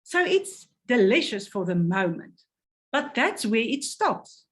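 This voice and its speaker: A woman with a fairly high, very lively voice talks slowly through a health product presentation. She reads with care, stopping to work through hard technical words. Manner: careful, stumbles over technical words.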